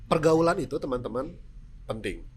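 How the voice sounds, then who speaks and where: A man's voice: mid-range voice, lively intonation, normal speed, slightly rough. A man with a Sundanese accent, a native Sundanese speaker, explaining his work as a presenter in casual interview talk with acted-out examples. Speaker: television news presenter, podcast guest.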